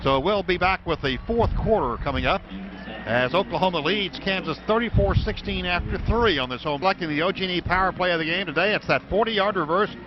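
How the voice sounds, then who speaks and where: An American man calling football play-by-play on the radio in clipped running descriptions that get excited on big plays. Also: sits high, fairly quick. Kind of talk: live American football commentary. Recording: dull, noisy radio broadcast from stadium.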